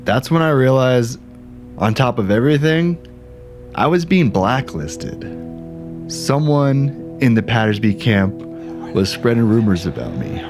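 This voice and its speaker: An actor playing an aggrieved, self-dramatizing ghostwriter in a conversational, wry, indignant audio drama monologue. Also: male voice, mid-range voice, big pitch swings, unhurried, over music. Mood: aggrieved, suspicious. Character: aggrieved, self-dramatizing male ghostwriter telling his grievances. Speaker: narrator and protagonist.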